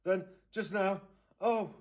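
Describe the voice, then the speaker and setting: A man reading a storybook as a distraught, tearful aunt, halting and emphatic as the character speaks. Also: high voice, steady tone, darkish tone, voice pushed up. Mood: distressed. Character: distraught, tearful aunt recounting a missed phone call.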